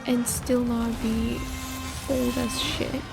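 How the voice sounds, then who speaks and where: A woman's voice: fairly high pitch, flat delivery, slow pace, creaky. A woman streaming a game, giving casual, slangy, laid-back commentary with some swearing, close on the mic. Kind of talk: casual live gameplay commentary. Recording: game music behind the voice.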